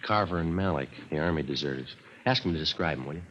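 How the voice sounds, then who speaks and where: A male actor playing a businesslike police detective who narrates his case in a clipped, deadpan 1950s radio style. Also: rumbling low, quick pace, a little hiss or hum. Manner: clipped, deadpan, matter-of-fact. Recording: dull vintage 1950s radio recording, music.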